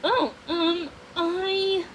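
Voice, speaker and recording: A woman's voice: very high voice, fairly even intonation, extremely slow, a little hiss or hum, pitched above the performer's own voice, exaggerated voice, low-quality recording. A woman voicing a young storybook princess who is defiant and brave, at times flustered.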